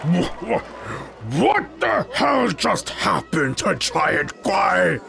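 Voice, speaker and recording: A man playing a boastful, bullying giant street fighter in an audio drama, taunting and gravelly. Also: high voice, big pitch swings, drawn-out words, sharp tone, exaggerated voice. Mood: angry, indignant. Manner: taunting, speaks of himself in third person.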